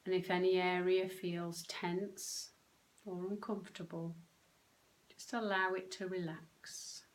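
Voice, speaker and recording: Woman, meditation guide, lowish voice, very slow pace, gentle, short phrases, long pauses. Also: little pitch movement. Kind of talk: guided meditation, soothing instruction.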